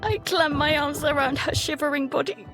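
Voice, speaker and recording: A woman playing a terrified child who narrates a disaster at sea in halting, urgent first-person drama. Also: sits high, lightly acted. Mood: shaken, intense. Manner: halting, repeating words, breathy urgency. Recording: loud dramatic music behind. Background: dramatic music.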